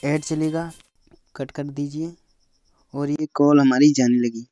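A young Indian man giving a step-by-step phone app tutorial as a voice-over, halting when he reads English aloud. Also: a little high, steady tone, mellow, soft background music. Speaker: tutorial host. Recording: slightly dull, lo-fi, dry close recording.

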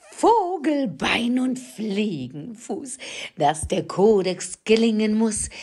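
A woman playing a bossy, scheming evil witch in an audio drama, with an imperious theatrical delivery. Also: mid-range voice.

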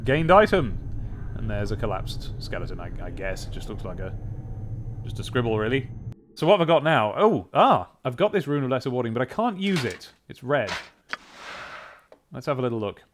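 A young man giving a gaming commentary, thinking aloud and reading game text in a playfully expressive way, close to the mic. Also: medium pitch, moderate pace, long pauses, slight background noise. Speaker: let's play host. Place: small indoor room at a desk. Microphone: close studio mic on an arm. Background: game music.

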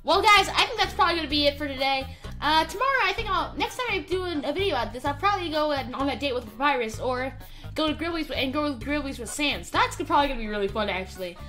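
A woman's voice: high-pitched, expressive, quick pace, pushed and bright, faint music behind. A woman hosting a gaming video with playful, giggly and enthusiastic commentary. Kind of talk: playful game commentary and roleplay.

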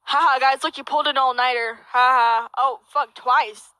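A woman's voice: high voice, steady tone, normal speed, bright, forceful tone, no echo. A woman doing a silly, profane, theatrical amateur comic dub, improvised as she goes. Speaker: amateur voice-over performer. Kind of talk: crude comic dub of a puppet show, improvised. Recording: noisy home recording with clicks.

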